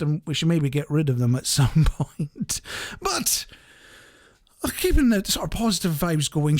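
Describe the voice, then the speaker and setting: A radio host chatting casually to listeners, wry and jokey, wandering off into asides. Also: medium pitch.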